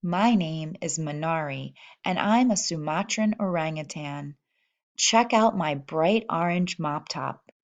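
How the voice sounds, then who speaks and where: A woman reading a children's picture book aloud in an expressive manner, voicing animal characters in the first person. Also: low-pitched, takes it slowly. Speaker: storybook reader.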